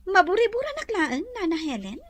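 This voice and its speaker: A woman's voice: very high-pitched, big pitch swings, a little fast. A woman playing a worried, demanding female resort owner in an Ilocano audio drama. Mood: irritated, worried.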